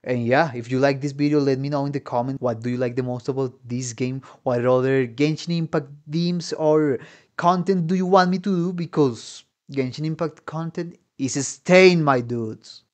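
A young man giving casual reaction commentary as a musician, in a relaxed, halting manner, often repeating words. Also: mid-range voice. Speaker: reaction video host, musician. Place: home room with guitars. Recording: game music playing behind. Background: game trailer music.

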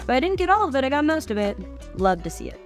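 A woman's voice: high voice, sing-song, quick pace, soft background music. A young adult woman chatting casually as a cooking vlogger, with a wry, self-deprecating manner. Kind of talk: casual chat while cooking. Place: home kitchen, indoors.

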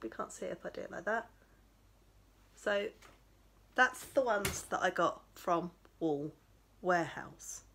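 A woman's voice: fairly low pitch, animated, measured pace, pauses often, a bit bright, a little hiss or hum. Thirties lady, tired, chatty yarn vlog with lots of ums, small home craft room. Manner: chatty, rambling, with many fillers. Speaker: crochet vlogger. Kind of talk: casual chat, showing yarn.

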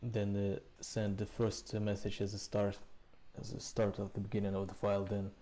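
A man gives a casual screencast tutorial in a low, mellow voice. His intonation stays very flat, and he moves through the explanation haltingly, a short phrase at a time. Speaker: programming tutorial presenter. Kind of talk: casual screencast code walkthrough. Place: indoors, voice-over recorded with screen capture.

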